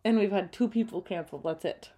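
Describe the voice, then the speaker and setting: A young woman co-hosts a relaxed chat with her partner. She sounds bubbly and expressive, laughing readily and gasping when surprised. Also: mid-range voice, slightly rough. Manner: bubbly, laughs often, quick gasps of surprise. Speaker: podcast co-host. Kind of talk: casual couple's chat.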